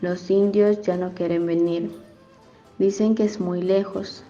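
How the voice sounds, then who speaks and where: A woman's voice: on the low side, flat delivery, a little slow, mellow, close to an everyday voice. Woman as a muffled, hesitant male labour contractor. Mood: hesitant, pleading. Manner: deferential, explaining. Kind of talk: amateur radionovela acting. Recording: dull lo-fi audio with background music.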